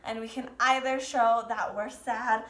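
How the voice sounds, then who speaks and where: A woman teaching dance to young learners, explaining and demonstrating step by step with gentle, encouraging prompts. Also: fairly high pitch, fairly even intonation, a little slow. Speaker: dance teacher leading a children's pantomime lesson. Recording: roomy, echoing sound.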